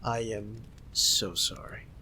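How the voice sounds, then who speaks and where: A man's voice: lowish voice, slow pace, slight background noise, heavily acted. A man playing a giddy, homesick young friend in an ASMR roleplay, chatting casually with a giggly, hesitant manner. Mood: flustered, apologetic. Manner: giggly, hesitant, softly excitable. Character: giddy, homesick young male Filipino friend, sweet and apologetic. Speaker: audio roleplay performer.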